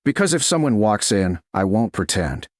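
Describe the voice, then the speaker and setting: A man voicing a steady, intense medieval duke in a romance audiobook, terse and controlled. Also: lowish voice, normal speed, no echo, studio quality. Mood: calm, certain.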